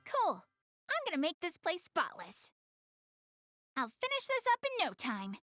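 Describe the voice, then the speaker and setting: A woman's voice: very high voice, huge pitch swings, a little slow, sharp tone, no room sound, studio quality, faint music behind, full character voice. A woman playing a proud, clumsy, secretly caring anime girl in a video game, chatty, defensive and easily flustered. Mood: casual, friendly. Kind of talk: English-dubbed anime video game cutscene acting.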